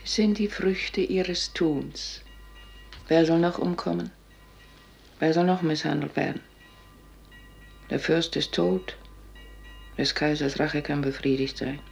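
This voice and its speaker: An actress playing a proud, despairing countess in a solemn, declaimed classical German radio drama from the 1950s. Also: female voice, deep voice, fairly even intonation, slow pace, vocal fry, long pauses, slightly rough, faint music behind, exaggerated voice. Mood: bitter, defiant. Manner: solemn, theatrical, dignified. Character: proud, despairing countess of a fallen princely house. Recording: dull vintage 1950s radio recording.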